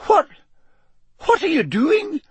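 Man doing a storybook witch schoolmistress, female, high-pitched, proper, indignant, lively swooping pitch, dry sound. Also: a little slow, slightly rough, dry recording, exaggerated voice. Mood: shocked.

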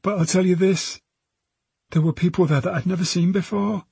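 A man's voice: high voice, fairly even intonation, normal speed, vocal fry, darkish tone, breathy edge, no room sound, pitched above the performer's own voice, full character voice. A man voicing a frightened, defiant injured teenage girl, sullen and wary, in an audiobook. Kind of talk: audiobook character voice.

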